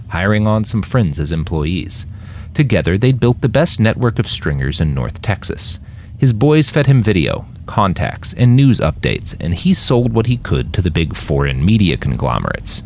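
A man reading scripted fiction narration in an expressive, dramatic storytelling manner. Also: on the low side, moderate pace, slight background noise. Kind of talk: scripted audiobook-style narration of a dystopian war novel.

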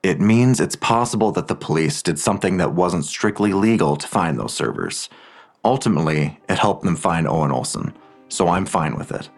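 A very low-voiced, protective investigating man. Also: creaky, exaggerated voice. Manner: measured, reassuring, explanatory. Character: protective, secretive man investigating a crime on his own. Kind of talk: audio drama acting.

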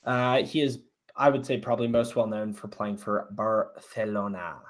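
A man's voice: on the low side, steady tone, normal speed. A man reading quiz questions in a casual podcast, encouraging his co-host and offering clues. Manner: upbeat, encouraging, gives clues.

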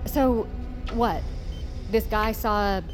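A woman's voice: mid-range voice, slow pace, background noise, lightly acted. An actress playing an inquisitive, skeptical woman on a ship's crew, hesitant and questioning, in an audio drama. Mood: puzzled. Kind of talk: audio fiction acting.

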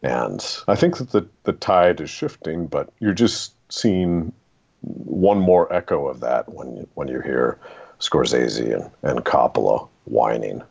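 A man telling anecdotes as a guest author in an interview, with a dramatic, deliberate storytelling manner. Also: extremely low pitch, animated, creaky, darkish tone, husky, dull audio. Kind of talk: podcast interview, personal anecdotes.

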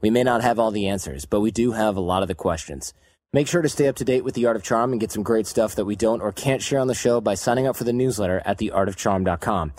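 Low-voiced male podcast host, dry sound. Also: quick pace, darkish tone, no room sound. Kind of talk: scripted promo read and interview questions.

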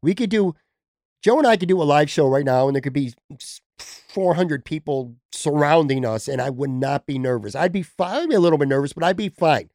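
A man's voice: a little high, animated, brisk, darkish tone, no echo, clean studio sound. A man hosting a casual sports podcast, chatting and joking with a guest. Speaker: podcast host.

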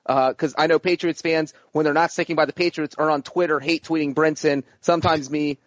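A man's voice: a little high, fairly even intonation, brisk, no echo. A man co-hosting a sports podcast, laying out his points steadily. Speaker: podcast co-host. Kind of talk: casual sports talk. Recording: dry lo-fi podcast mic.

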